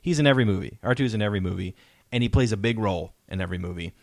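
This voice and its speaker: A man's voice: on the low side, very fast, gravelly, darkish tone, dry recording. A man hosting a podcast, chatting casually as a fan in an enthusiastic, emphatic way that seeks agreement. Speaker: podcast host.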